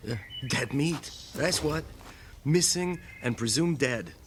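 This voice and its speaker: An actor playing a fretful young army private shaken by grief in a radio drama. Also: male voice, on the high side, animated, takes it slowly. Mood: fearful, agitated. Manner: fretful, stammering when upset. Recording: noisy outdoor ambience with birds.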